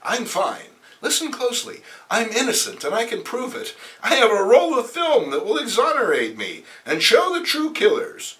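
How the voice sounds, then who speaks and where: Man playing young superhero, bright voice, earnest, urgent, melodramatic, comic book character. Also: very high voice, huge pitch swings, normal speed, few pauses, recorded from a distance, voice pushed up, exaggerated voice. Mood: urgent pleading.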